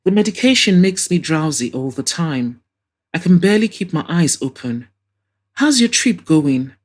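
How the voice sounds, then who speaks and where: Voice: medium pitch, very animated, moderate pace. A woman voicing an ailing elderly father in an audio drama, drowsy and weary in manner.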